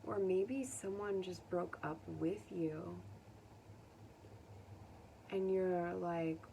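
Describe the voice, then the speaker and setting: A woman giving a casual tarot reading to viewers, speaking gently and consolingly in an informal way. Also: lowish voice, extremely slow, gravelly. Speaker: tarot reader. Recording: distant mic, faint background noise.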